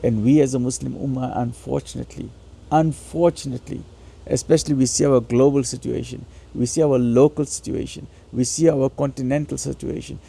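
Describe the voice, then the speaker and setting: A man with a raspy voice giving a motivational religious lecture, urging listeners emphatically and repeating phrases for stress. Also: medium pitch, dark tone. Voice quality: raspy, gravelly.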